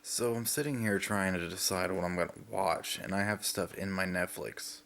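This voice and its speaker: A man's voice: sits low, moderate pace, a bit bright, slight background noise. A young man chatting casually in a vlog, rambling and hesitant with lots of fillers, while sick and getting over a lost voice. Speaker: vlogger.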